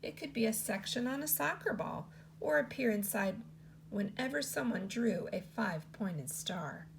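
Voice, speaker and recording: A woman teacher reads a picture book aloud to children. Her voice has a gravelly, creaky texture and a lively, sing-song melody. A faint hum sits under her reading. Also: moderate pace, rough recording. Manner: expressive read-aloud with lots of pitch movement.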